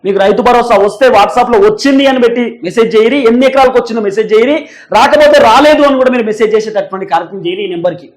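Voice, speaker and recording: A man's voice: extremely high pitch, racing. A young man with a Telangana Telugu accent presenting news commentary straight to viewers in an emphatic, repetitive, insistent manner.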